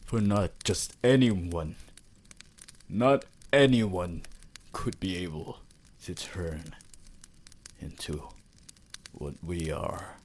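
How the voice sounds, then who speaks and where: A man's voice: low voice, very slow, pauses often, darkish tone, a little hiss or hum. A man voicing an ancient, courtly vampire lord in an ASMR roleplay, formal, theatrical and romantically devoted. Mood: wistful, adoring.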